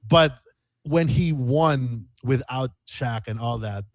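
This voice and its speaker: A man chatting casually as an interview guest, telling stories in an animated way and repeating phrases as he goes. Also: mid-range voice, slow pace, no room sound. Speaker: guest musician.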